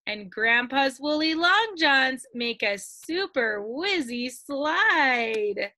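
A middle-aged woman reading a picture book aloud to young children like a teacher, expressive and sing-song, drawing out the ends of lines. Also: high-pitched, no room sound. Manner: expressive, sing-song storytelling with drawn-out line endings. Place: indoors at a computer, screen-share video.

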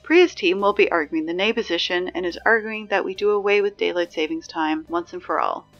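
A woman playing a chatty, exasperated high school morning announcer in a scripted audio drama, conversational and wry with hesitant asides. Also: brisk, dull audio, soft background music, natural voice. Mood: brisk announcing.

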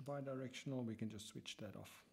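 A man talking through a software tutorial step by step as he works, muttering some lines to himself, with keyboard typing behind him. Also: mid-range voice, fairly quick, gravelly. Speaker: lecturer.

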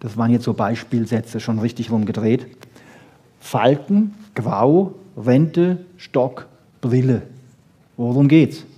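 Man lecturing informally in a husky, mellow voice. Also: mid-range voice, slow pace. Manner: conversational, explanatory, rhetorical questions and emphatic short sentences. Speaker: lecturer on brain research. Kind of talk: animated informal lecture to an audience.

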